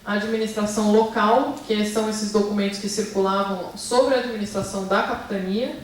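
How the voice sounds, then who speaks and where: A woman's voice: mid-range voice, steady tone, brisk, a little hiss or hum. A woman with a Brazilian Portuguese accent giving a seminar talk on her research, hesitant, with many fillers and restarts while asking for the next slide. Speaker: seminar lecturer. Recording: echoey hall, speaker far from mic.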